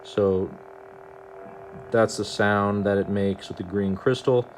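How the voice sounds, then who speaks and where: A man hosting a casual, unscripted demo video, speaking in a halting way and repeating himself. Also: lowish voice, steady tone, poor audio. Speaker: host of a hobby gadget demonstration video. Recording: noticeable background noise.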